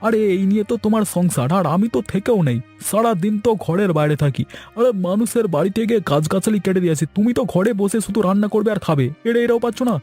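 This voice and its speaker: A man's voice: high voice, animated, fast talker, darkish tone, faint music behind. A man voicing a grown village son in a cartoon, chatty, teasing and full of questions. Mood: teasing reproach. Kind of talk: Bengali cartoon story dialogue.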